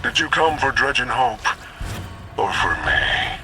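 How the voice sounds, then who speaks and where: An actor playing a menacing, accusing dark villain in a video game cutscene, with grandiose, deliberate, archaic delivery. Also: male voice, mid-range voice, sing-song, music in the background.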